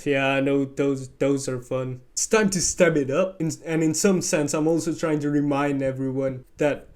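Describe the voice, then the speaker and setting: Brief: young man, slightly high voice, mellow tone, candid and sarcastic vlog talk. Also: steady tone, normal speed. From Indonesia. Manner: candid, sarcastic, exclaiming asides. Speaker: vlogger. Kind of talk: casual vlog commentary over on-screen data.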